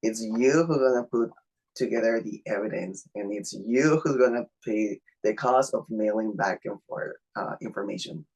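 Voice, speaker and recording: A man from Mexico whose first language is Spanish, speaking English with a Mexican accent as an interview guest, earnest and a little halting, over a video call. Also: fairly low pitch, gravelly, darkish tone, husky, poor audio. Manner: earnest, halting non-native phrasing.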